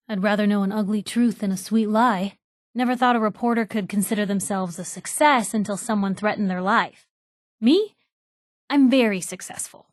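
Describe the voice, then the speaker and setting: A woman's voice: medium pitch, dry recording, clean studio sound, close to an everyday voice. A woman playing a spirited, sardonic young reporter in a post-apocalyptic video game. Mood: playful, confident. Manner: sardonic, teasing, plucky. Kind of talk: video game companion dialogue.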